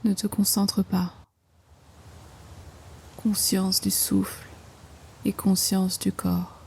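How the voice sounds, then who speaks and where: A woman guiding a yoga nidra relaxation in slow instructions, with hushed, breathy phrase endings and an unhurried manner. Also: mid-range voice, flat delivery. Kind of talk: guided meditation, slow soothing instruction.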